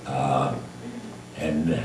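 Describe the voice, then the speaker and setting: Very deep-voiced hundred-year-old man, slow and halting. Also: little pitch movement. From Egypt. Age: 100. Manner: halting, searching for words. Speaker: honoree at a birthday tribute. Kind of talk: unscripted personal reminiscence to an audience. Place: large echoey room with an audience. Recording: echoey room, distant mic, background noise.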